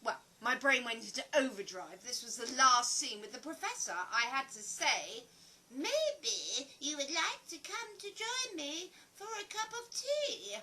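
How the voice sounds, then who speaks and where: Woman acting an earnest, bright-voiced survivor. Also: high voice, very animated, measured pace, full character voice. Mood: animated, reminiscing. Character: earnest, philosophical woman survivor, a former stage actress. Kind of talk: audio play acting.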